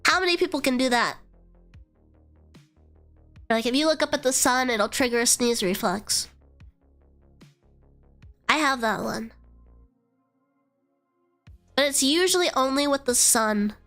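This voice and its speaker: A woman's voice: high voice, expressive, measured pace, pauses often, a bit bright, soft background music. A young woman streamer with a nasal voice and a stuffy cold, rambling casually, swearing freely and trailing into fillers. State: congested from a cold.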